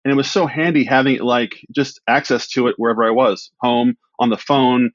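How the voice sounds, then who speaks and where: A man's voice: mid-range voice, fairly even intonation, fairly quick, no echo. Chatty self-deprecating man, dry dull recording. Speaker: podcast guest, writer. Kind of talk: casual interview chat.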